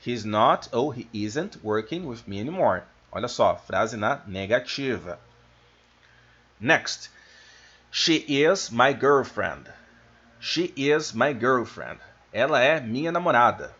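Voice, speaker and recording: A man with a Brazilian accent giving an informal language lesson as a teacher, explaining things and slowing down to enunciate his examples. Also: medium pitch, big pitch swings, pushed and bright, husky. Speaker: English teacher. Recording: dull, lo-fi microphone sound.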